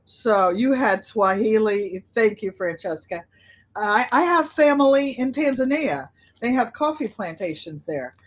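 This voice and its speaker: A woman hosting a casual livestream chat in an unhurried, rambling way, drifting between her own talk and replies to viewers. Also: a little high, expressive. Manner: unhurried, rambling, easily sidetracked. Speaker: livestream host, quilter.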